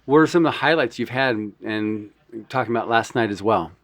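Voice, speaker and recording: A man's voice: medium pitch, brisk, a bit bright, dry recording. A man hosting a podcast, asking casual questions with easygoing fillers. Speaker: podcast host. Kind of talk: casual interview.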